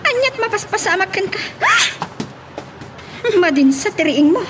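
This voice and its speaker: A woman's voice: extremely high pitch, very animated, a little slow, over music, exaggerated voice. A woman playing an inquisitive, peacemaking aunt in a radio drama, full of questions and exclamations. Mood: curious, concerned. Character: inquisitive, conciliatory aunt questioning her angry niece. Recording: clear background noise, ambience.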